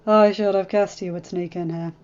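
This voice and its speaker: A woman voicing a shy young man who speaks softly, gently amused, in a dull lo-fi recording with faint music. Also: mid-range voice, fairly even intonation, normal speed, clean tone, close to an everyday voice.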